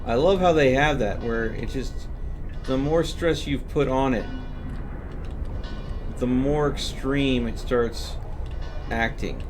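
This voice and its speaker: A man's voice: mid-range voice, slow pace, pauses often, music in the background. A man streaming a video game, explaining what happens on screen as he plays. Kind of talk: live gameplay commentary, explanatory.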